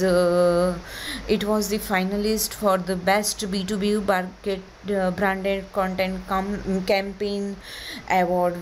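A woman in her thirties with an Indian accent, giving a prepared slide presentation in a halting way with frequent hesitations. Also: on the low side, darkish tone, a little hiss or hum, poor audio. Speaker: conference presenter, digital agency owner.

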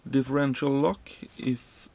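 Slow, gravelly man touring his truck cab. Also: mid-range voice, fairly even intonation, darkish tone. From Sweden. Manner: halting, many fillers and restarts, plain explaining. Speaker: truck driver vlogger. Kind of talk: casual cab tour walkthrough.

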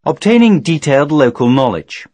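Husky male training narrator, lively intonation. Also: a little high, dry recording. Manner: measured, formal, didactic. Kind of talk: scripted instructional narration.

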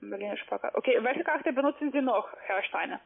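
A woman working as a call-center caller, speaking halting, broken German with many hesitant fillers. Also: fairly high pitch, animated, vocal fry. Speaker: phone scam caller. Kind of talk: phone call. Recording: narrow phone line.

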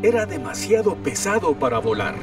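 A man's voice: on the high side, big pitch swings, moderate pace, music in the background. A man narrating a children's cartoon story.

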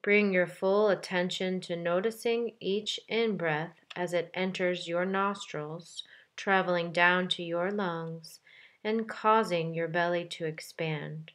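A woman leading a guided meditation in a calm, gentle, measured way with deliberate pauses between phrases. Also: fairly low pitch. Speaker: meditation guide, yoga teacher. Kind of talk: guided meditation, soft instructional delivery.

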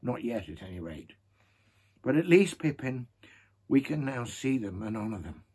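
A man reading aloud and voicing a cheerful, easygoing young hobbit in a slightly raised, raspy voice. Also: mid-range voice, sing-song, normal speed, a little mellow.

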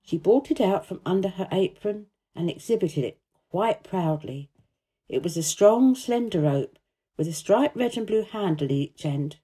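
A woman narrating a children's story as an expressive, scripted reading. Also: fairly low pitch, moderate pace, slightly rough. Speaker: storybook reader, actor.

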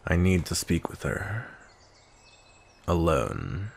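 A man playing a grumpy, cold, commanding vampire knight in a roleplay ASMR, husky and gravelly, speaking in a stern, measured way. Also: deep voice, sing-song.

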